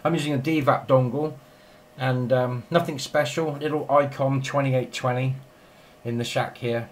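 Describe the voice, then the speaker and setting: A man's voice: mid-range voice, steady tone, moderate pace. A man chatting casually and in a rambling way as a radio operator filming in his home shack. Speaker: amateur radio operator and video maker.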